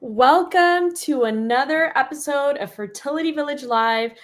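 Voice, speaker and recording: An enthusiastic woman hosts a live talk show and welcomes her guest in an effusive way. Her voice sits a little higher than average. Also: normal speed. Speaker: host.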